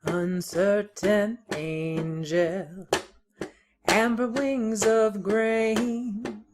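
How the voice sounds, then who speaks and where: A woman's voice: mid-range voice, steady tone, drawn-out words, over loud music. A woman singing in a musical theatre style, as a performer in a stage musical. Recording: noisy, roomy recording with clicks.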